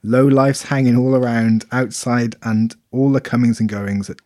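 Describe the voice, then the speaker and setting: A man of ordinary pitch talks in a loose, animated podcast chat, laughing and swearing as he goes. His voice sits close and dry on the microphone, with no room around it. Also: moderate pace, dry recording. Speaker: podcast co-host. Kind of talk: casual chat, reading out reviews.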